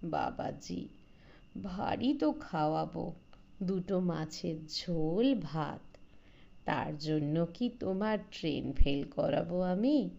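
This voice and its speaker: A woman narrator plays an older village man, hospitable and insistent in a storybook reading. The voice drops lower and stays mellow, with coaxing, familiar phrasing. The recording is a touch dull. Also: expressive, slow, gravelly, heavily acted. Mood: genial insistence.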